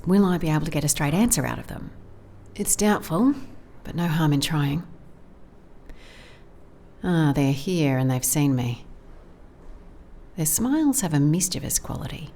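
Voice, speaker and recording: A female private investigator with a notably low voice. She is weary and guarded, confiding her case notes in a dry, measured way, as in an audio drama. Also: mellow, a little hiss or hum.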